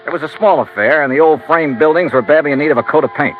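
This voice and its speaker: An actor playing a wry, unflappable insurance investigator in an old American radio drama, talking in a crisp, clipped way. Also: male voice, a little high, fast talker, soft background music, lightly acted. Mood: matter-of-fact. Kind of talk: 1950s American radio drama, first-person narration and dialogue. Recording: lo-fi vintage radio recording.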